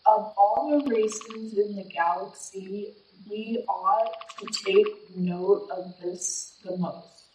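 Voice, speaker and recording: A woman playing a poised, shrewd alien mother and former politician in an audio play, speaking formally and deliberately. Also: mid-range voice, a little mellow, a little hiss or hum, lightly acted. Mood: cautionary, composed. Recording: roomy echo, distant from mic.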